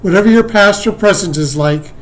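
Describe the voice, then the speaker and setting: Man with a high-ish voice, reading church welcome and announcements. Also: fairly quick, low-quality recording. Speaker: worship associate.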